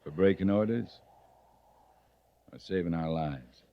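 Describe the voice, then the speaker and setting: An American actor playing a stern, weary but compassionate cavalry captain in a 1950s radio western, terse and grave. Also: male voice, deep voice, unhurried. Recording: muffled vintage radio recording.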